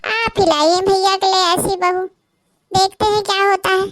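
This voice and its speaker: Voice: very high-pitched, fairly even intonation, moderate pace. A performer voicing a teasing young woman who mocks her brother's bride, in a cartoon. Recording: cartoon with music underneath.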